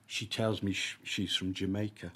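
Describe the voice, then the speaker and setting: A man with a fairly low voice reads his own short story at a live literary event. His phrasing is deliberate and emphatic, timed for wry comic effect. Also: little pitch movement, bright, forceful tone. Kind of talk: live dramatic story reading.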